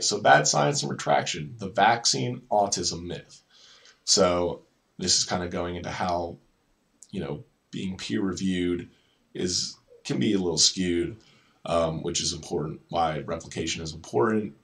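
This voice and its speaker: A man's voice: low voice, far from the mic. A man presenting an educational video, reading lesson notes in an informal way and often correcting himself with casual asides. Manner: informal, self-correcting, repeats words.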